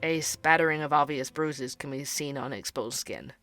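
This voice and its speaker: An earnest young podcast host. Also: male voice, a little high, normal speed, sharp tone, soft background music. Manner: earnest, wry narration. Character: young male barista podcaster, curious and earnest.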